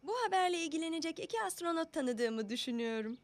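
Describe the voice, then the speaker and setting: A woman voicing a cheerful, attentive young mother in a children's cartoon dub, with a motherly, gently teasing manner. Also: very high-pitched, animated, fast talker. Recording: music behind the voice.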